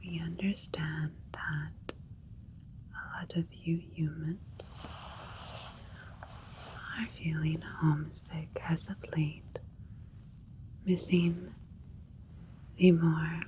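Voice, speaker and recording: A calm alien doctor, played by a woman whispers slowly and gently in a low register. She is clinical and reassuring, like an ASMR spaceship procedure, with faint ambient noise behind her. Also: poor audio. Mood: calm, welcoming. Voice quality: breathy whisper. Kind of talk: ASMR sci-fi roleplay. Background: soft alien spaceship ambience.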